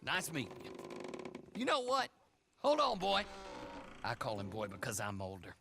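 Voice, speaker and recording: An actor playing a brisk, folksy, wily horse dealer in a western game, talking in an expansive salesman's patter. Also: mid-range voice, huge pitch swings, pushed and bright, heavily acted. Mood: businesslike. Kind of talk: western video game cutscene acting. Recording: clear background noise.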